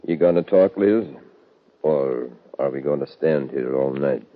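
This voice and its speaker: An actor playing a gruff, terse ranch hand in a 1950s radio western, clipped and laconic. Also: male voice, rumbling low, a little slow, phone-quality sound. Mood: curt. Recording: thin, very noisy 1950 radio recording.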